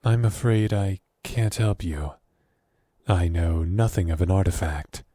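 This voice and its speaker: A man playing a wary, evasive captive governor in an audio drama, guarded and hesitant, with a husky voice. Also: low voice, a little slow, warm tone, dry recording, clean studio sound. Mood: grave, wary. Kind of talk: audio drama roleplay acting.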